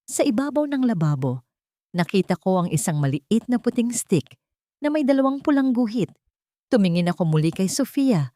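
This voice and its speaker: A woman with a fairly low voice narrates an emotional love story in the first person. Her delivery is dramatic and drawn out, recorded close and dry. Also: darkish tone, no room sound.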